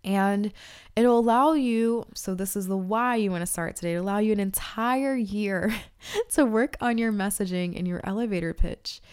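A woman's voice: mid-range voice, moderate pace, darkish tone, smooth. A woman hosting a podcast, congested from allergies, giving upbeat, direct advice. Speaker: podcast host. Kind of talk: casual podcast talk and read ads.

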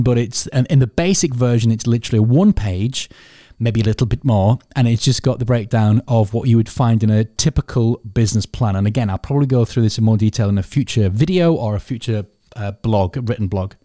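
A man's voice: mid-range voice, fairly quick, mellow, no room sound, clean studio sound. A man hosting a solo podcast, sniffling from a cold as he candidly explains his planning in a thinking-aloud way. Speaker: podcast host and business owner.

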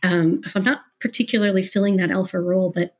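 Female interview guest, professional, conversational and candid. Medium register with some huskiness, animated and discursive delivery. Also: medium pitch, fast.

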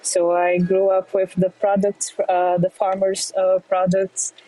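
A woman's voice: mid-range voice, monotone, low-quality recording. A woman from Brazil in her thirties, answering interview questions in English with frequent fillers and restarts. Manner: halting, many fillers and restarts, soft laughs. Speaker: guest, fintech entrepreneur.

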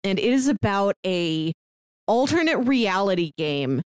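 A woman co-host of a casual podcast, talking over a remote call. She chats in an animated, rambling way, full of "like" and quick asides. The mic sounds close and dry, with no room echo. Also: medium pitch, clear, resonant tone. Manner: chatty, animated, lots of "like".

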